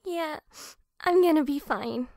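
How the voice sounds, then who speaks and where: A woman's voice: high voice, unhurried, a little mellow, no echo, clean studio sound. A woman playing a meek, easily flustered young office worker in an audio drama, stammering and apologetic. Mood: shy, hesitant.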